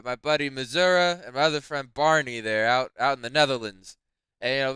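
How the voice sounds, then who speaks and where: A man's voice: fairly high pitch, bright, forceful tone, smooth, no room sound. A man hosting a tabletop gaming lesson video, upbeat and chatty, tired from staying up late. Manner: upbeat, hyped greeting. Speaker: host. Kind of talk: casual video intro.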